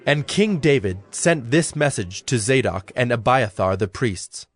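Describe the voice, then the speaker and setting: A man's voice: mid-range voice, animated, a little slow. A man narrating scripture in a scripted, dramatic audiobook style. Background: music.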